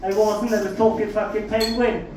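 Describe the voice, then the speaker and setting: A man with a South Welsh accent works a comedy club stage in a high-pitched voice, spinning sweary, self-mocking stories and hitting each punchline hard. The sound comes from a distance and rings through a very echoey room. Steady room noise sits underneath. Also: moderate pace. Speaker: stand-up comedian. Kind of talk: stand-up comedy storytelling.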